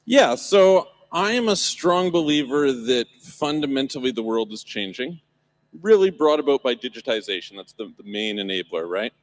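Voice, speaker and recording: A man's voice: medium pitch, animated, normal speed, poor audio. A man from Canada, speaking as a panelist at a live event, explaining his views in an upbeat, articulate way. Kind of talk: live panel talk on stage. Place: event stage before an audience.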